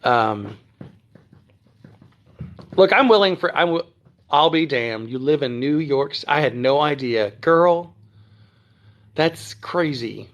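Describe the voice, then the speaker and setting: A rambling, friendly-sounding livestream host, a man with a somewhat raised pitch. He is from Texas but keeps to a trained standard American accent, chatting loosely on a phone stream at home. He fills pauses with ums and clears his throat often. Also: lively intonation, dull audio. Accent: none, trained standard American. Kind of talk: casual livestream chat answering viewer comments. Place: at home near Dallas.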